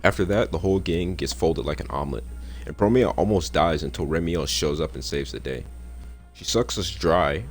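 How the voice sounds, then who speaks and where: A young man casually recapping a game's story, with slangy, joking asides. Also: extremely low pitch. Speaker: gaming content creator. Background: faint game music.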